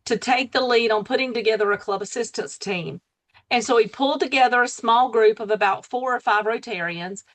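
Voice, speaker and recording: A woman's voice: mid-range voice, a bit bright. A woman, a guest speaker, giving a plain conversational account with some fillers over a video call mic. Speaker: guest speaker, district membership chair. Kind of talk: conversational presentation.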